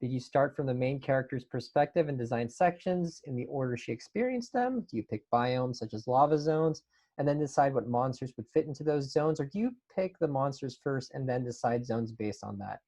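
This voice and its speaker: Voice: mid-range voice, expressive, a little fast, warm tone. An enthusiastic host reading out fan questions and chatting casually in a panel Q&A.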